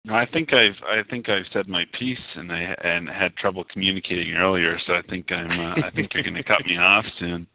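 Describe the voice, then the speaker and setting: A man's voice: low voice, steady tone, brisk, hardly any pauses, no room sound. A man with a Canadian accent, co-hosting a talk show, speaking hesitantly and searching for his words. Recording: tinny phone line, dry.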